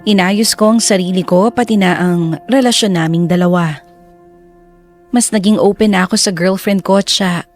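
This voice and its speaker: An actress playing a reflective, remorseful lover who narrates a love story in the first person. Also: female voice, mid-range voice, moderate pace, darkish tone, soft background music, lightly acted.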